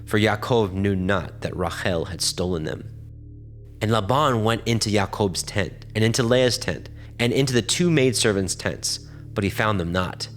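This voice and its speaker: A man narrating scripture from a script in a formal, measured way. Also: on the low side, soft background music.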